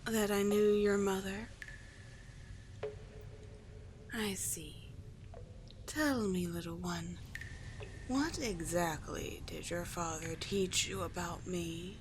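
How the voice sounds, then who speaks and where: Sea witch, played by a woman: lowish, slow, soothing and courtly, gently teasing, ASMR roleplay with noisy ambience behind. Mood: knowing, soothing. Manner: soothing, formal endearments, unhurried. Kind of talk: scripted ASMR roleplay monologue.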